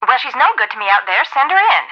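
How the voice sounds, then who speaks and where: A woman's voice: fairly high pitch, very animated, fast talker. A woman voice actor playing a scatty, demanding boss in a video game, in dull lo-fi audio. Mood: irritable.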